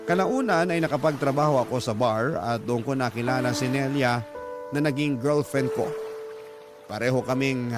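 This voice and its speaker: A man's voice: mid-range voice, normal speed, close to an everyday voice. A man acting a grieving young narrator who tells his life story earnestly and sorrowfully in a radio drama. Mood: sorrowful, reflective. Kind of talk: dramatized first-person letter narration. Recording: loud music bed under voice.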